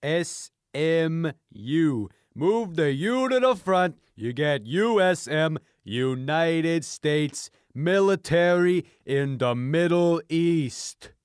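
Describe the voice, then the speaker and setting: A man playing a self-assured crackpot conspiracy theorist, explaining emphatically and deliberately in an improvised radio comedy interview. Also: a little high, animated, clear, resonant tone, dry recording, clean studio sound. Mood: smug, revelatory.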